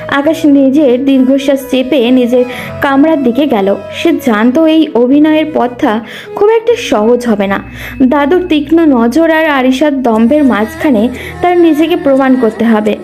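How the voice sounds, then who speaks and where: A woman reads scripted story narration in a very high yet soft, mellow voice. Faint music plays beneath her. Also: expressive, unhurried.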